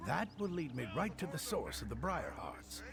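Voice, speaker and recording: A man's voice: a little high, sing-song, moderate pace, creaky. A man voicing an anxious, bookish alchemist in a video game, earnest and scholarly but cowardly.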